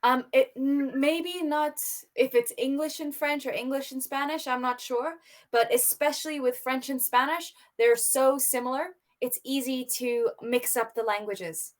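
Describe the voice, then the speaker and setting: A woman's voice: high voice, fairly even intonation, a little slow. A woman tutoring English over a video call, encouraging and upbeat, often laughing. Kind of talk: online tutoring conversation.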